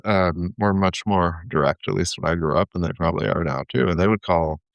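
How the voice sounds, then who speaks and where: A man co-hosting a podcast who talks in a halting, wry way and drops in dry jokes. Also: low-pitched, creaky, no room sound, highs cut off. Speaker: podcast co-host. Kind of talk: casual podcast chat.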